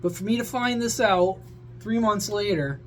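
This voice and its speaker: Male vlogger, high voice, blunt rambling rant with casual swearing, noticeable hum behind. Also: normal speed, fairly bright tone, rough recording. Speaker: amateur radio vlogger. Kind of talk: casual opinionated rant to viewers.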